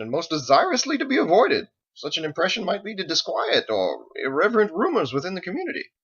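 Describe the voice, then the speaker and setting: A man's voice: on the high side, bright, forceful tone, breathy edge, lo-fi sound, pitched above the performer's own voice, full character voice. A man voicing a pompous, verbose, prim young paladin churchman in an audiobook, with florid, fussy, over-formal diction. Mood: prim, scandalized. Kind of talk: audiobook character voice.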